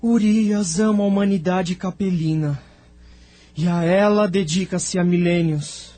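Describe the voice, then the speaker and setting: Slow, high-voiced male narrator. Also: warm tone. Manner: solemn. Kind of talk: scripted dramatic narration.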